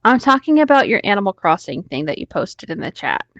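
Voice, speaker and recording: A woman's voice: medium pitch, big pitch swings, fairly quick, fairly bright tone, no echo. A woman co-hosting a podcast, talking casually and a little hesitantly over a slightly muffled online call. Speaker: podcast co-host. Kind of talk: casual group chat, hesitant phrasing.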